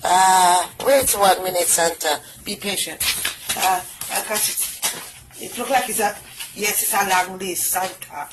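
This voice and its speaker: A woman's voice: medium pitch, steady tone, measured pace, heavy background noise. A middle-aged woman with a British Jamaican accent, doing a bawdy comic phone call with patois turns of phrase. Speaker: comedian. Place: indoors, small room. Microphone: phone camera close up, wired earphones.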